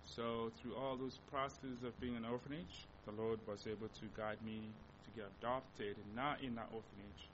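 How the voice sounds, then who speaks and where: A young Congolese man with a Congolese accent talks to a church group about his mission work. His voice sits at an ordinary pitch, and he pauses and restarts often as he looks for the right English words. The recording is dull, with a clear low rumble running underneath. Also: steady tone. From Democratic Republic of Congo. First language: Lingala. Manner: halting, searching for words, many restarts.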